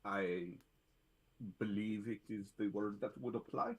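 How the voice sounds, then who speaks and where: A blunt Russian-born superhuman played by a man speaks in a husky, low-pitched voice with a put-on Russian accent. He halts now and then to grope for English words, unbothered by politeness. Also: fairly even intonation, a little slow, rough recording. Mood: gruff. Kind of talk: tabletop roleplay in character.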